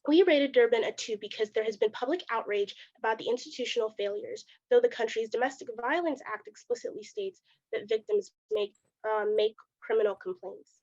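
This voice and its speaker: A woman's voice: a little high, lively intonation, a little fast, a little mellow, highs cut off. A young woman reading a prepared presentation over a video call, correcting herself now and then. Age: young adult. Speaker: youth ambassador presenter.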